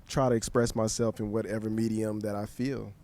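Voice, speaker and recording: A young man hosting a podcast, answering in a thoughtful, measured, slightly hesitant way. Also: lowish voice, level tone, warm tone. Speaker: podcast host. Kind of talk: conversational interview.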